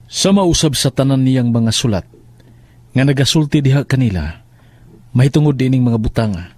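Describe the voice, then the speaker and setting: A man's voice: mid-range voice, expressive, moderate pace, darkish tone, no room sound. A man with a Filipino accent giving a solemn, scripted reading of scripture. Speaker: scripture reader.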